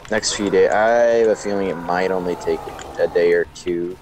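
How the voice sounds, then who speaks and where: A man's voice: sits low, steady tone, moderate pace, noisy background. A young man chatting casually as a guest player on a game stream. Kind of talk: casual chat.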